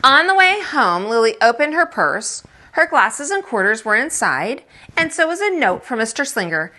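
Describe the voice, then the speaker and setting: A woman reading a picture book aloud as a teacher, animated and expressive, with dramatic emphasis and asides to young listeners. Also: fairly high pitch, normal speed. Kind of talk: animated storytime narration for children.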